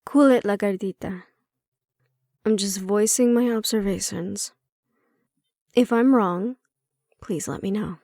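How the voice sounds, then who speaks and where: Girl doing a prickly fantasy handler lady for audio rp, medium pitch, matter of fact, bit wry, secretly cares, dry sound. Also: takes it slowly, pauses often, dark tone, no room sound. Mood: wry, blunt. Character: prickly but secretly caring woman handler in fantasy facility. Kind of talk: intimate audio roleplay, addressed to the listener.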